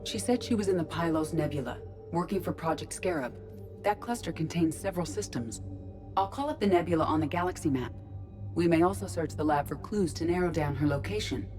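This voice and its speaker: A woman voicing a calm ship artificial intelligence in a video game, speaking in a measured, formal, analytical way. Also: sits low, over music, natural voice. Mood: measured, matter-of-fact.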